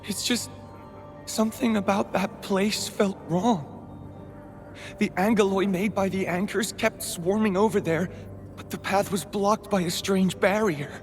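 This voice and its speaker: An actor playing an anguished, guilt-ridden rescue worker in a video game, speaking haltingly. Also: male voice, sits high, steady tone. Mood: shaken. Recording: faint music behind the voice.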